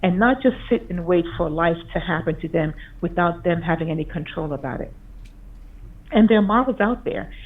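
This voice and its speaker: A woman's voice: fairly low pitch, animated, moderate pace. A woman physician giving an earnest, explanatory conference talk over slides from a video call. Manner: earnest, explanatory, with occasional restarts. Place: remote video call presentation. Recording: tinny phone-like video call audio.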